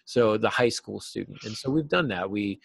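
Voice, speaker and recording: Low-voiced man in a dry-sounding interview. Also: animated, normal speed, vocal fry, slightly rough, no echo. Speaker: guest, novelist and writing teacher. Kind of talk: conversational interview answers. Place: small office, indoors.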